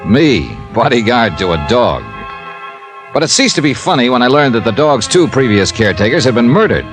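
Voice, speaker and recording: An actor playing a wry, hard-boiled insurance investigator in a 1950s radio drama, clipped and matter-of-fact. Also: male voice, mid-range voice, sing-song, moderate pace, music in the background, natural voice. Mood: wry narration. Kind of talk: 1950s radio detective acting and narration.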